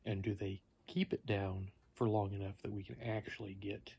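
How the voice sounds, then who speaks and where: A tired man in his fifties rambling casually to camera, halting and low-key as he thinks aloud. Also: little pitch movement, mellow. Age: 50s. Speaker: vlogger. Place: small living room at home, indoors. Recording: noticeable background noise.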